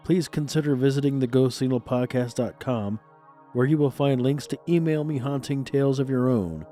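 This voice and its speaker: A man's voice: mid-range voice, mellow. A congested, stuffed-up man hosting a paranormal podcast, reading scripted narration with playfully spooky, theatrical patter. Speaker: podcast host.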